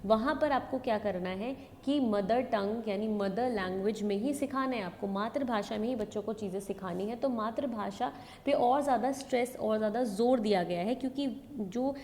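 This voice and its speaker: A woman's voice: on the high side, moderate pace, a little mellow. A woman with a North Indian accent giving an explanatory lecture, mixing in English terms and repeating key points in new words. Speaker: education lecturer. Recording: echoey hall reverb, background noise.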